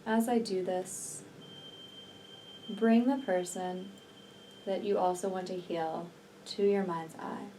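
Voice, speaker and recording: A woman leading a guided healing meditation in a slow, soothing, deliberate manner with long pauses between phrases. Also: on the low side, fairly even intonation, warm tone. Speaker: energy healer and meditation guide. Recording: distant mic, faint background noise.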